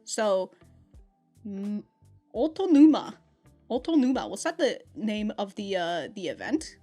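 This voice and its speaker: A woman's voice: medium pitch, big pitch swings, a little slow, dark tone, soft background music. A woman giving casual, rambling reaction commentary as a host, with a giggly, slangy way of talking and some swearing. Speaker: anime reaction host and reviewer.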